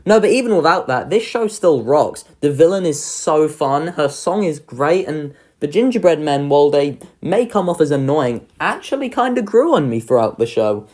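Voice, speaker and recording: Animated young reviewer, very varied pitch. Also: normal speed, hardly any pauses. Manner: informal, swearing, enthusiastic. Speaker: video creator ranking children's pantomimes. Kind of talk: casual review ranking commentary.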